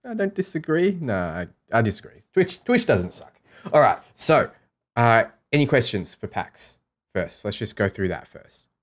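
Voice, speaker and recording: A man's voice: mid-range voice, sing-song, moderate pace. A man with an Australian accent hosting a livestream, chatting casually and thinking aloud in an informal way. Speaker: live streamer and host.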